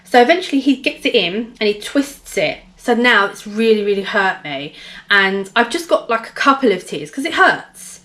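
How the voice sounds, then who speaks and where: Hoarse woman rambling through a vlog. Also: medium pitch, expressive, normal speed, fairly bright tone. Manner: rambling, many fillers and restarts. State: hoarse, gravelly voice. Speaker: vlogger. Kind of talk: casual personal vlog storytelling.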